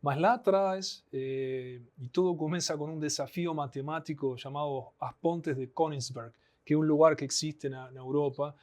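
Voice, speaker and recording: A man with an Argentine Spanish accent, Spanish being his first language, speaks as an interview guest. His voice sits a little higher than most men's. He explains patiently, with small fillers between his thoughts. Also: steady tone, darkish tone. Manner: explanatory, measured, frequent "né" and "eh" fillers. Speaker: guest, anthropologist. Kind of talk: podcast interview.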